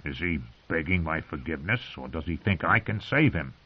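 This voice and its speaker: A man voicing a weary dwarf nobleman who speaks quietly with dry, sardonic wit. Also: lowish voice, expressive, moderate pace, gravelly, breathy edge, dull audio, exaggerated voice. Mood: grim.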